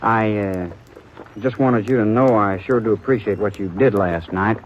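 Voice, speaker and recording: A man acting as a proud, nervous young American frontiersman in a golden-age radio western. He speaks in a fairly low voice with halting rustic politeness. The old recording is muffled and faintly noisy. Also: close to an everyday voice. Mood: nervous, respectful.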